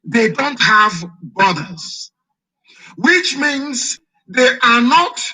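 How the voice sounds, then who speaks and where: A man's voice: very high-pitched, bright, forceful tone, husky, low-quality recording. A man with a Nigerian accent, an Igbo native speaker, delivering an impassioned live broadcast monologue full of incredulous rhetorical questions.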